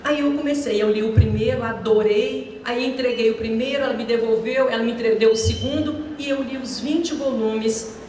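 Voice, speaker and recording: A woman's voice: fairly high pitch, steady tone, moderate pace, few pauses, darkish tone, a little hiss or hum. A retired Brazilian teacher in her sixties telling personal stories to students in a chatty, anecdotal way, talking straight to the audience. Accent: Brazilian Portuguese. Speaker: retired teacher, guest speaker. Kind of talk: personal storytelling talk to students. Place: large echoey school hall. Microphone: handheld microphone. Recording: echoey hall from a distance.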